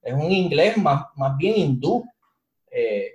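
A man co-hosting a podcast, telling a story in a relaxed, encouraging way with casual slang. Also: fairly high pitch, takes it slowly, dull audio, slight background noise. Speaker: podcast co-host, IT instructor. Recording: roomy echo.

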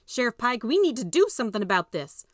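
A woman's voice: fairly high pitch, big pitch swings, quick pace, no room sound, studio quality, pitched above the performer's own voice. A woman voicing a stern, protective mother in a children's audiobook, firm and scolding. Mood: angry.

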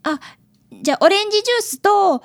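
A woman's voice: very high voice, expressive, fast talker, creaky, heavily acted. A woman acting as a polite, eager fifth-grade boy apprentice detective in a radio drama, youthful and childlike. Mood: eager, curious. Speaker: radio drama actor and narrator. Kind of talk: radio drama acting with first-person narration.

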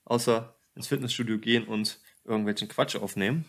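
A man's voice: fairly low pitch, steady tone, brisk, vocal fry. A man hosting a casual podcast from his apartment, joking and chatting to listeners, his voice a little tired from a long day of talking. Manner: jokey, chatty, addresses listeners. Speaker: podcast host. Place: home apartment.

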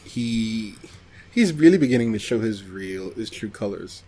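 Male podcast co-host, fairly low voice, loose conversational delivery with halting, searching pauses. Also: expressive, dark tone, a little hiss or hum. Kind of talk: casual chat about anime.

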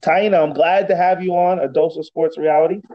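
Slightly high-voiced host, lo-fi. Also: male voice, steady tone, soft background music. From Boston. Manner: chatty, halting restarts. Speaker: podcast host. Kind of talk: casual interview. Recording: dull lo-fi sound.

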